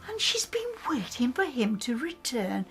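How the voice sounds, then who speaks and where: An actress playing a confiding woman in a drama, speaking in a hushed, breathy manner. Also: a little high, very animated, normal speed, soft background music. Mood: hushed, sombre. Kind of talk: drama acting.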